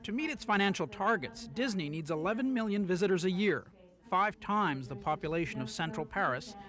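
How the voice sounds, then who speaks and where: High-voiced male news reporter, wry. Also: lively intonation, a little fast, fairly bright tone. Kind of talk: scripted news narration.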